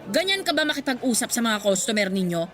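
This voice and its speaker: A woman's voice: on the high side, big pitch swings, quick pace, soft background music. A woman playing a haughty, stingy middle-aged neighbour with a Filipino accent, sneering and dismissive in a radio drama. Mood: indignant, sneering.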